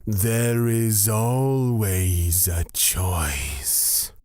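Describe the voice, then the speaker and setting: An actor playing a calm, menacing god of fear, speaking deliberately and formally in a husky, breathy voice in a fantasy audio drama. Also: male voice, on the low side, no room sound. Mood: calm, ominous. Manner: deliberate, formal, drawn-out phrasing.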